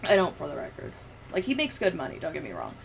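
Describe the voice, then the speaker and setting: A woman's voice: sits low, huge pitch swings, fast. A young woman chatting casually on a livestream in a sweary, sardonic manner. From United States. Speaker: livestreamer editing a video. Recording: clear background noise, room ambience.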